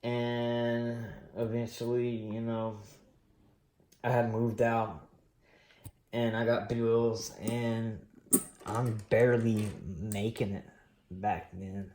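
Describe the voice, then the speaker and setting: A man in his late thirties telling his story on a coin collecting vlog, rambling and relaxed with many pauses and fillers. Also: medium pitch, reverberant, a little hiss or hum. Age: late 30s. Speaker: coin collecting channel host. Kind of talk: casual personal storytelling while unboxing.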